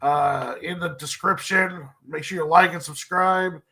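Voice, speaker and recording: A man with a stuffed-up, congested nose, signing off a live drawing stream in a relaxed, rambling way and clearing his throat often. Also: a little high, measured pace. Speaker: comic artist streamer. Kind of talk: casual live-stream sign-off.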